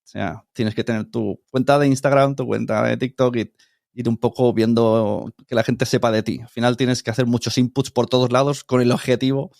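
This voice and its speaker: A man's voice: medium pitch, little pitch movement, moderate pace, creaky, darkish tone, no room sound, clean studio sound. A middle-aged man with an accent from Spain, talking casually and informally as an interview guest, explaining with examples. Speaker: podcast producer, interview guest.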